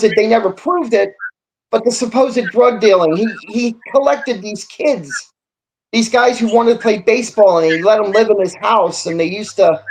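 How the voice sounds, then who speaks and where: A man talking sports casually as a panelist, reflective and halting, often repeating words as he builds a point. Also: high-pitched, slight background noise, rough recording. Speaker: sports talk show co-host or guest.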